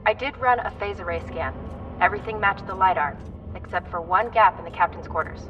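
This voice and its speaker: Female ship tech actress, game drama: practical, conversational, dryly apologetic, mid-pitched, muffled and a bit off-mic. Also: a little fast, recorded from a distance, a little hiss or hum, close to an everyday voice. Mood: matter-of-fact. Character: practical, chatty female crew technician, a bit squeamish. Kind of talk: video game character acting.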